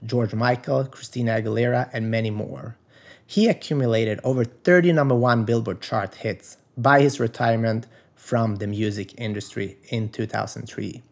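A man's voice: medium pitch, moderate pace. Man, from Sweden, podcast host, reads introduction, asks questions. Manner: reads guest introduction, brief affirmations. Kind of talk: podcast interview.

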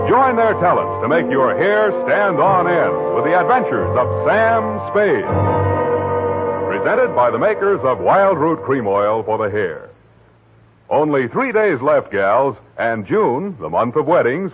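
A male radio announcer reading an old-time sponsor commercial in a showy salesman style. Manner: booming, showy salesman delivery. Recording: tinny old radio broadcast.

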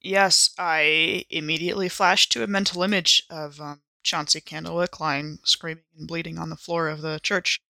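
A woman's voice: low-pitched, flat delivery, normal speed, gravelly, few pauses, bright, forceful tone, no room sound. A woman talking casually and in character as a player in a tabletop roleplaying game.

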